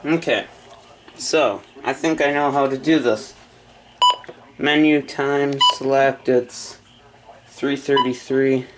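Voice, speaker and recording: A man casually narrating an unboxing and setup in halting, short muttered phrases. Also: medium pitch, steady tone, background noise. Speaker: hobbyist video maker.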